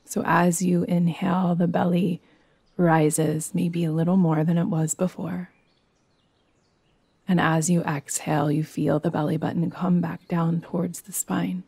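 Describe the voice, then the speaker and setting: Slow, low-pitched woman guiding meditation. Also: level tone, creaky, husky, no room sound. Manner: soothing, gentle, unhurried, with long pauses. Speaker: yoga nidra meditation teacher. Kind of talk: guided meditation.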